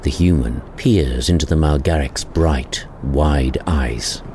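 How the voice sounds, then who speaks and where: A man's voice: very deep voice, slow, mellow. A man narrating a scripted audio drama with a wry storytelling manner. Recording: clearly noisy ambient bed behind voice.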